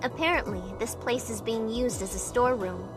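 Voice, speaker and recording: A woman playing an anxious, curious young woman who narrates her own story in a measured, introspective way for a visual novel fan dub. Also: fairly high pitch, natural voice. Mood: musing. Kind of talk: first-person scripted narration. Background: music.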